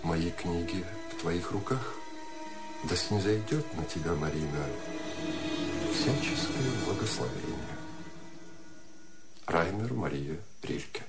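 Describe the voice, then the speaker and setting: An actor playing an enraptured, melancholy poet in a radio play, declaiming love letters solemnly and tenderly. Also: male voice, low voice, animated, slow pace, pauses often, over loud music, exaggerated voice. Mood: solemn farewell. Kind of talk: poetic radio play monologue.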